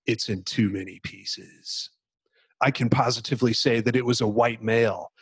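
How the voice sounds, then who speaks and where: A man performs a young Marine soldier from a dramatized novel, tense and horrified. His report is strained and urgent, pitched up from an everyday male voice, and sounds close and dry. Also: fairly even intonation, moderate pace, slightly rough, dry recording. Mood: tense, shaken.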